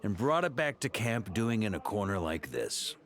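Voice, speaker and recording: An actor playing a wry, jovial, authoritative middle-aged knight leader in a video game. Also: male voice, lowish voice, very animated, normal speed, a bit bright.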